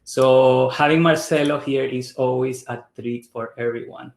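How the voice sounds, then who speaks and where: A man's voice: medium pitch, steady tone, measured pace, vocal fry. Man from Ecuador hosting a video meetup. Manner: friendly, many fillers and restarts, mixes in Spanish greetings. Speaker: meetup host. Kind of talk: casual online meetup introduction. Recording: roomy echo on a video call.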